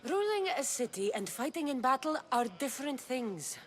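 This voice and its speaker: A woman's voice: high voice, big pitch swings, normal speed. An actress playing a blunt, sceptical woman warrior of ancient Greece in a video game, direct and challenging. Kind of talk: dramatic video game dialogue.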